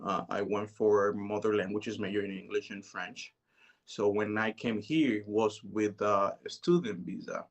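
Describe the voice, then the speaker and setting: A man, telling his life story as an interview guest in a relaxed, hesitant way. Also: lowish voice, a little slow. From Dominican Republic. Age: 30s. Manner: relaxed, hesitant, many "you know"s.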